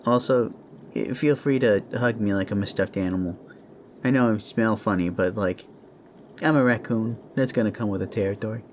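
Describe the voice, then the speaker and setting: A man's voice: on the low side, normal speed, lightly acted. A man playing an anxious, grieving raccoon father in a fantasy roleplay, speaking in a hesitant, emotional way. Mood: uneasy. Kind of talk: dramatic roleplay audio acting. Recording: faint storm noise behind. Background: thunder and storm ambience.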